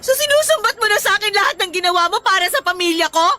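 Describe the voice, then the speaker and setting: A woman's voice: very high voice, lively intonation, rapid-fire, sharp tone, exaggerated voice. A woman with a Filipino accent playing a betrayed, hot-tempered young fiancée in an emotional, melodramatic radio drama style. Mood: hurt, bitter. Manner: melodramatic, emotional, pleading and accusing.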